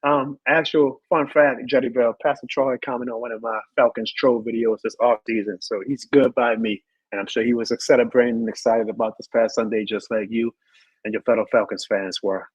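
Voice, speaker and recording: A hoarse man who has lost his voice, hosting a live sports show and ranting with emphatic repeated lines. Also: medium pitch, steady tone, fairly quick, no echo, muffled recording. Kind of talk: live sports rant and chat reading.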